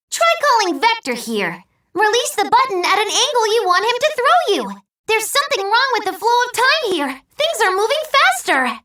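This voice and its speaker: A woman voicing a small cheerful childlike robot helper in a video game, giving chirpy, instructive tutorial hints in a squeaky voice. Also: pushed and bright, reverberant, distant mic, full character voice. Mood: alert. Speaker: voice actor.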